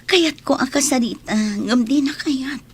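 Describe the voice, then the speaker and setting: Actress as troubled wife, Ilocano accent, fairly high pitch, plaintive, anxious, village radio drama. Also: female voice, measured pace. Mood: worried, sad. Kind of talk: Filipino comedy radio drama acting.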